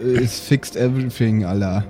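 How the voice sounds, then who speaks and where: A man takes part in an improvised role-playing game. He speaks casually at a middle pitch, trading banter with the table. A little music plays behind him. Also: moderate pace.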